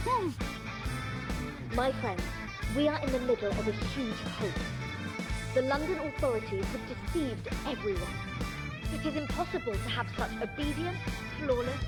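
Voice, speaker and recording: A young female pirate radio captain from a game. She is high-pitched and very animated, boastful and grand, delivering showy broadcast speeches over loud music. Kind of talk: theatrical broadcast monologue.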